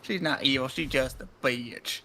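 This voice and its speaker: A man's voice: medium pitch, moderate pace, vocal fry, sharp tone, slight background noise. A man making casual, blunt, sweary remarks about a game. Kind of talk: casual chat, commentary on a game.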